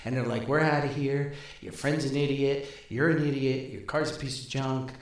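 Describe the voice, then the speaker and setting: A man's voice: mid-range voice, steady tone, moderate pace. An older man hosting a podcast, telling humorous anecdotes in a folksy way and acting out the dialogue. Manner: folksy, chuckles often, acts out dialogue. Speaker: podcast host. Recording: very roomy, echoing sound.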